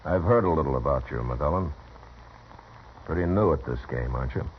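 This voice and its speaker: A very deep-voiced American marshal of the Old West, curt and authoritative. He speaks in short, dry, weary sentences. The recording is dull vintage radio with some hiss. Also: lively intonation, brisk. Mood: cool, probing. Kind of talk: 1950s Western radio drama acting.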